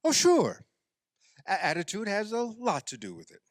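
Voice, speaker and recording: A man acts out a self-pitying amateur athlete in a radio drama. His delivery is sarcastic and exasperated, halting and then swinging widely in pitch. The sound is dry, with little room around it. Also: wildly swooping pitch, no echo, exaggerated voice. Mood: exasperated, sulky. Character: self-pitying, sarcastic male amateur basketball player, sore loser. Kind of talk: radio play dialogue.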